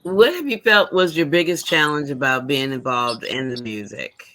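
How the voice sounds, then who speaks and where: A man's voice: fairly high pitch, moderate pace, bright, forceful tone. A man hosting an interview in a chatty, affirming way, often backing up the guest. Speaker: interview host. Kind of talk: casual interview chat.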